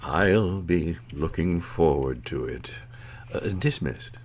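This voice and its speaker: Husky, blustering, low-voiced school principal. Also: male voice, lively intonation, slow pace, darkish tone, full character voice. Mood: nervous, forced politeness. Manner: blustering, stammering, put-upon. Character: flustered, grumbling middle-aged school principal and reluctant father. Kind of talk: old-time radio comedy acting.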